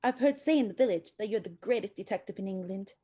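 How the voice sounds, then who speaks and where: A woman acts out a humble, anxious country mother for a radio play. She is pleading and deferential, folksy in speech, with a mellow tone. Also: medium pitch, fast, slightly rough, natural voice. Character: humble, anxious village seamstress, worried mother.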